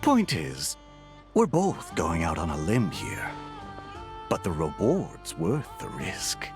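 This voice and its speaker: An actor playing a shifty underworld dealer in a video game, talking in a sly, coaxing way. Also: male voice, low voice, huge pitch swings, takes it slowly, music in the background, exaggerated voice.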